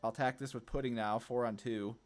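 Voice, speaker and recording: A man's voice: medium pitch, steady tone, a little fast. Man thinking aloud over a card game. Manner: thinking aloud, hesitant self-questioning. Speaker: gameplay video host. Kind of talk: casual card game play commentary. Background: background music.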